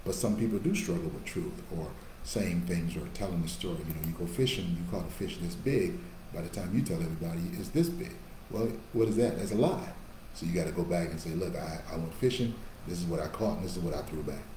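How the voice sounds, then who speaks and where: A preaching man with a mellow low voice. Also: fast talker. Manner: preaching, rising to emphatic bursts then dropping to quiet asides. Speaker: pastor. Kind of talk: sermon. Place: small office with a large painting behind. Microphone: webcam on a video call. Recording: echoey room with faint background hum.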